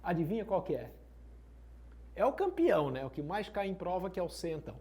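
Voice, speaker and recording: A man's voice: sits high, sing-song, brisk, vocal fry, a little mellow. A male teacher giving an exam-prep lesson at a whiteboard, slangy and emphatic, checking in with students often. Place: classroom at a whiteboard.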